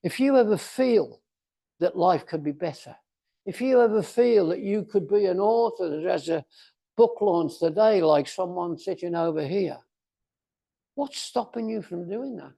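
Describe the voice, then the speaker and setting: An elderly man, around eighty, delivers a motivational speech. His voice sits high for a man and is mellow, with a gravelly creak running through it. He is theatrical and emphatic, leaning on repetition and letting deliberate pauses hang. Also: expressive. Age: about 80. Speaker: motivational speaker. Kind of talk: motivational speech to a club audience.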